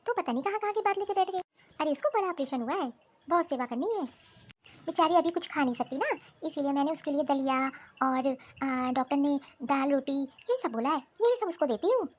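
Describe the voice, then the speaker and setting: A woman voicing a doting, gently scolding mother-in-law and new grandmother in an animated family drama. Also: high voice, a little fast. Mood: chiding.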